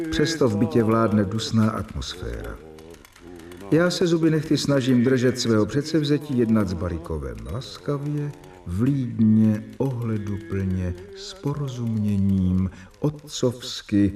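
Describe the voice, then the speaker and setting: Young doctor reading his diary, calm and dry, lively pitch, mic a bit far. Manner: measured, diary-like narration with dry irony. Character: earnest young male doctor, patient but strained. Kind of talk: radio drama acting.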